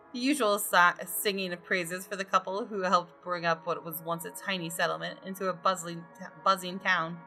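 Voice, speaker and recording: A woman reading visual novel narration aloud with deliberate, dramatic storytelling. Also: on the low side, pushed and bright. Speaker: gaming video host. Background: music.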